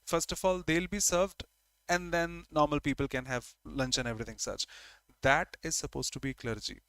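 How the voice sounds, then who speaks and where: Man, Indian English accent, grammar teacher, repeats key words, slightly high voice, dry close sound. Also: steady tone, moderate pace, fairly bright tone, smooth, no room sound. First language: Hindi. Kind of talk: online grammar lesson.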